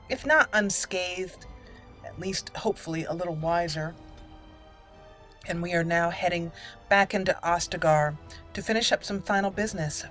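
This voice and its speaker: A woman hosting a roleplay game playthrough, deliberately narrating her actions aloud. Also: on the low side, fairly bright tone. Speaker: gaming channel host. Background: faint game music.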